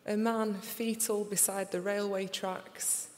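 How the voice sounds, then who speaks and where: A young woman with a Sheffield accent reading her poems aloud in a slow, deliberate recital before an audience. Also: medium pitch, flat delivery, a little mellow. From England. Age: young adult. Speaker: poet. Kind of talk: poetry reading. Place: large concert hall. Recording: live reading in a reverberant hall.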